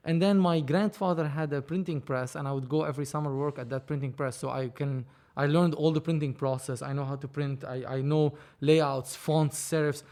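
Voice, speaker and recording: Man in his thirties, slightly high voice. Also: normal speed, hardly any pauses. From Lebanon. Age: 30s. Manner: animated, rambling, frequent restarts. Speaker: film producer, podcast guest. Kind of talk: casual conversational storytelling.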